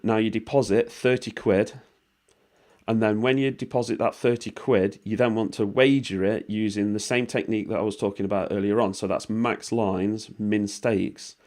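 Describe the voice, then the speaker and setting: A British man with a voice worn hoarse by a cold, talking viewers through an online walkthrough in a rambling, informal way, with swearing and lots of fillers. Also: lowish voice, steady tone, normal speed, darkish tone. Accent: British English. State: losing his voice from a cold. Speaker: vlogger explaining money-making offers. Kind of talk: casual screen-recording walkthrough.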